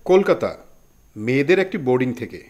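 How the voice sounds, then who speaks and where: A man's voice: medium pitch, sing-song, fairly quick, a little mellow, highs cut off, close to an everyday voice. A man playing a polite, deferential letter carrier in an audio drama. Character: deferential letter messenger, plain working man.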